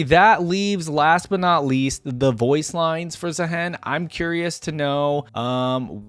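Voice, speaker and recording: A man's voice: on the high side, dry recording. A man hosting a gaming reaction video, reading aloud and commenting in a casual, informal way. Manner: informal, appreciative.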